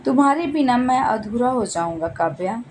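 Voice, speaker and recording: Lady reading quiet lovesick young guy in a story, tender and sincere, high voice, bit noisy. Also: lively intonation, normal speed, lightly acted. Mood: worried, pleading. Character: quiet, earnest young man deeply in love. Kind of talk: acted storybook dialogue.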